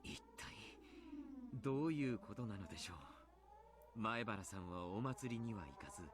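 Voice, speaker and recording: A man playing a grave, polite doctor and coach in a Japanese visual novel, speaking deliberately. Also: fairly low pitch, lively intonation, noisy background, natural voice. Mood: puzzled, serious.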